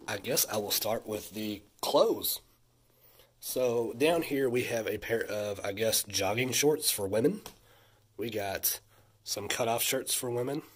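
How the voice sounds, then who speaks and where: A man's voice: medium pitch, darkish tone, slightly rough. A man in his thirties, congested from a sinus infection, chatting casually as he shows off a haul indoors. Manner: chatty, easily impressed, drifts into a rant. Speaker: vlogger showing a haul. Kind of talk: casual haul vlog chat.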